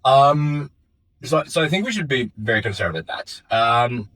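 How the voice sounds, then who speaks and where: A man's voice: medium pitch, expressive, unhurried, fairly bright tone, no echo, low-quality recording. A young man from Finland, a startup founder, answering interview questions in a thoughtful way with many fillers and restarts. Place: office in Finland.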